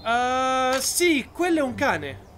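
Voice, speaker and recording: A man's voice: very high voice, sing-song, slow pace, background noise, full character voice. A man acting an exasperated, sensible young soldier who protests in disbelief, in a comedy dub. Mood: hesitant, doubtful. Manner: incredulous, protesting. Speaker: voice actor in a fan dub. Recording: music bed behind the voice.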